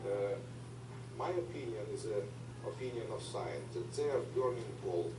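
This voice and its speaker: A man, a scientist, speaking slowly and deliberately in a TV interview clip. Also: lowish voice, lively intonation, far from the mic. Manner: slow, deliberate speech with pauses. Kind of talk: TV interview soundbite. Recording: TV audio played into an echoey room, noisy.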